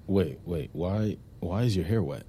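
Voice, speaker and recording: Very deep, mellow, lonely man. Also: lively intonation, unhurried, a little hiss or hum. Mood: low-key. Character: lonely, gentle, sleepless man, quietly smitten. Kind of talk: naturalistic audio drama acting.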